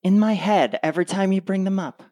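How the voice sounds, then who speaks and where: A woman's voice: medium pitch, brisk, mellow, no echo, lightly acted. A woman plays a sardonic radio play wife, fed up with her husband. She delivers cutting sarcasm in a dry manner, recorded close with no room sound. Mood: cutting, sarcastic.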